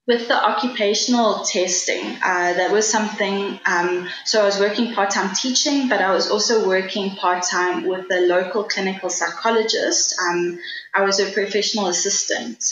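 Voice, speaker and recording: A woman's voice: medium pitch, fairly bright tone. A South African woman whose first language is Afrikaans, answering interview questions in an earnest, enthusiastic way and often restarting her sentences as she thinks aloud. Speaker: guest, travel writer. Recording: echoey room with faint background noise.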